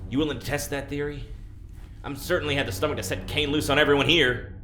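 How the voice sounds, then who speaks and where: A defiant fugitive acted by a man in a sci-fi audio drama. The voice is medium-pitched and bright, with wry, halting delivery in an echoey space over faint music. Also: fairly even intonation, natural voice. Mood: defiant, goading. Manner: dry, sardonic, halting.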